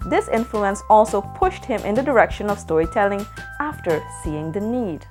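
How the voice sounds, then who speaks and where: A woman reading scripted feature narration in a measured way, rising on her questions. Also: mid-range voice, animated. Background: music bed.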